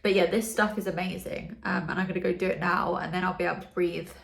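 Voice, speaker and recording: Young uk woman vlogging w a really stuffy blocked nose, bit of vocal fry, echoey room, kinda breathless. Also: lowish voice, fairly even intonation, quick pace, a bit bright. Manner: chatty, lots of ums and likes. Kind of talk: casual video diary.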